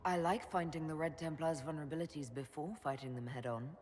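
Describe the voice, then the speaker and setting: Actress as very low-voiced, composed heroine. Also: female voice, steady tone, fast talker, darkish tone, lightly acted. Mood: composed, resolute. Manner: composed, direct, formal. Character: composed, authoritative female leader, a mage heroine. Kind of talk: dramatic video game cutscene acting. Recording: hall reverb with background noise.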